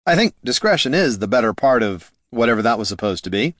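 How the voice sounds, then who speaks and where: A man's voice: mid-range voice, sing-song, fast, fairly bright tone, no echo, studio quality. A man doing a wry, thoughtful adventurer.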